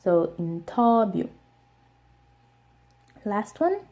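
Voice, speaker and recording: A young woman with an American accent explaining pronunciation step by step in a casual video lesson, repeating words slowly. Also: medium pitch, sing-song, narrow, tinny audio. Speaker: language teacher.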